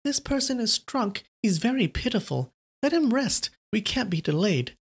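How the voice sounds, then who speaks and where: A man voicing a kind young female nun who speaks softly and with pity. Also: high-pitched, sing-song, normal speed, breathy edge, voice pushed up, full character voice. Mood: gentle. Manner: soft, pitying, quiet.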